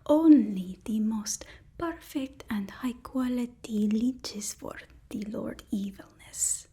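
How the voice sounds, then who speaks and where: Wanita memerankan asisten penjahat yang berbisik dan menjilat. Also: female voice, a little high, warm tone, full character voice. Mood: gleefully sinister. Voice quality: breathy whisper. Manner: obsequious, slow, drawn-out, gleefully servile. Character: fawning, sinister personal assistant to an evil overlord. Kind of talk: ASMR roleplay.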